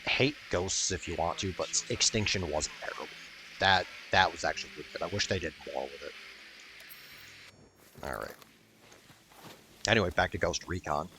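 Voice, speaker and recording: A man's voice: deep voice, normal speed, pauses often, bright, forceful tone, a little hiss or hum. A man giving casual, rambling gameplay commentary in a blunt, sweary way. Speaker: gaming video creator.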